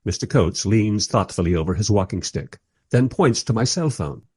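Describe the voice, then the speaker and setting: An actor playing a mild, polite time-travelling interviewer who narrates his story wryly in a radio play, with a husky voice. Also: fairly low pitch, animated, normal speed, darkish tone. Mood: polite, dry. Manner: wry, polite. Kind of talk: first-person radio play narration and dialogue.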